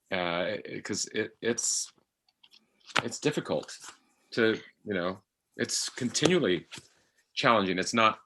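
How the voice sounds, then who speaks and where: A middle-aged man talking reflectively in conversation, halting often as he searches for his words. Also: deep voice, bright, forceful tone, slight background noise. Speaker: podcast actor and guest.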